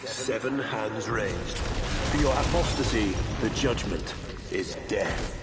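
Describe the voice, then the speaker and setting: A man's voice: lowish voice, expressive, slow pace. A man playing a stern, solemn official in a sci-fi video game. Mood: solemn judgment. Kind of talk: dramatic video game acting. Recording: loud music behind the voice.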